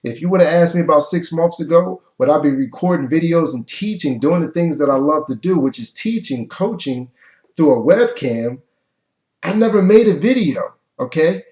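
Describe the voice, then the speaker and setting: A man giving a motivational talk over a webcam, energetic and preachy, with an occasional stutter. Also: on the high side, moderate pace. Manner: energetic, preachy, repeats key phrases. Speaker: motivational coach. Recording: echoey, dull webcam audio.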